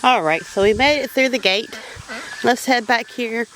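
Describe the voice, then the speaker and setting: A woman's voice: on the high side, moderate pace, a bit bright, poor audio. A Southern American woman homesteader, walking around her farm outdoors and giving plain, practical advice in a casual, instructive way. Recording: outdoor farm recording with noise.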